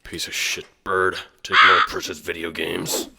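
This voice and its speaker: A man's voice: sits low, little pitch movement, unhurried, gravelly, heavy background noise. A man playing a sarcastic, foul-mouthed teenage boy in an audio drama, talking in casual, hesitant slang. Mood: impatient.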